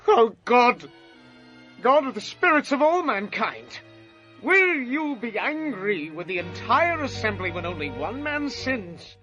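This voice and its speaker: An actor playing a stern, impassioned prophet and leader, solemn and urgent, in an audio Bible drama. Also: male voice, very high voice, sing-song, unhurried, sharp tone, lo-fi sound, soft background music, heavily acted. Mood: anguished pleading.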